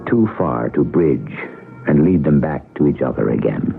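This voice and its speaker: A man narrating as host of a radio drama, in a gravelly, portentous and theatrical style. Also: extremely low pitch, lively intonation, moderate pace. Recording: phone-like old radio broadcast. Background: prominent music.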